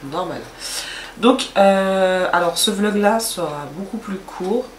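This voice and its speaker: A woman's voice: mid-range voice, slow pace, roomy sound, a little hiss or hum. A woman with a stuffy, nasal voice from a cold, chatting casually to camera in a rambling way with many hesitations. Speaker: vlogger.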